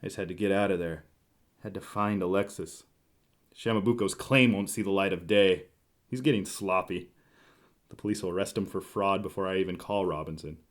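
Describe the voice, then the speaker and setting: A hard-boiled male private eye, played by a man, tells his noir story in a dry, clipped way. He is curt and wry, quick with a wisecrack and weary of the people around him. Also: low voice, steady tone, natural voice. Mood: wry, brooding. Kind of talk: noir radio drama acting and narration.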